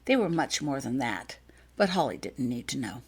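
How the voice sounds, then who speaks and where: A woman reading her own story aloud as an expressive scripted narration. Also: extremely low pitch, normal speed, husky. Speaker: author reading own fan fiction.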